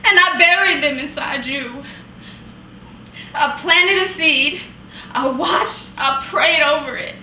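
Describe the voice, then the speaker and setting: A woman's voice: high voice, pushed and bright, exaggerated voice. A woman acting an anguished wife confronting her husband, in an impassioned, pleading stage monologue. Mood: pained, yearning. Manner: impassioned, pleading, emphatic with long pauses. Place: indoor workshop room, recorded from a distance. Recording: roomy, distant mic, some hiss.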